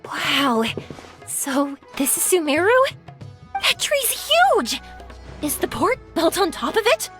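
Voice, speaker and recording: Young woman artisan, very high, exuberant, chatty, video game, faint music. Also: wildly swooping pitch, slow pace, fairly bright tone, heavily acted. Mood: eager. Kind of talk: English video game voice acting.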